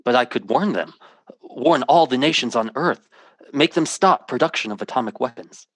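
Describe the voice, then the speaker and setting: A man's voice: medium pitch, animated, normal speed, fairly bright tone, no echo. A man playing an earnest, troubled, intense hero in an old-time radio drama. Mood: questioning, alarmed. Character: earnest, troubled man telling a fantastic story.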